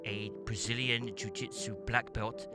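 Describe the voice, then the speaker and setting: A man's voice: fairly low pitch, little pitch movement, a little slow, loud music behind the voice. A male show host who narrates, then interviews a guest and sums up his answers back to him in a friendly way.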